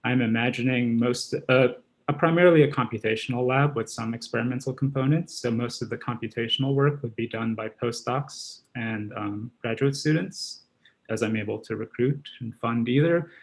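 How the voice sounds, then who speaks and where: Male researcher, thirties, for a science seminar talk; medium-pitched voice with plenty of fry. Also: normal speed. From Guyana and Trinidad. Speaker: academic researcher, job candidate giving a seminar. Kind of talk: academic seminar presentation.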